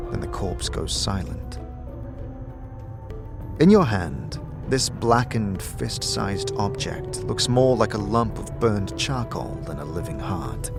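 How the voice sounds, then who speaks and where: A man's voice: fairly low pitch, gravelly. A man giving dramatic, scripted second-person narration for an audio drama as narrator and game master. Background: music.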